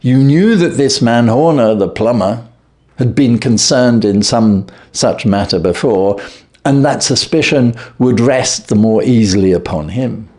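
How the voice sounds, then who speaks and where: A man reading aloud and voicing a stern, clever detective who calmly accuses a thief. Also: medium pitch, close to an everyday voice. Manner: stern, cutting, measured. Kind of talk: reading a classic story aloud.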